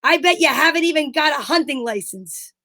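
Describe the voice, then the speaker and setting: A bossy, exasperated straight man from an old radio comedy duo , acted by a woman. The voice is high and sharp, firing incredulous questions and scolding a foolish partner. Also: animated, a little fast, heavily acted.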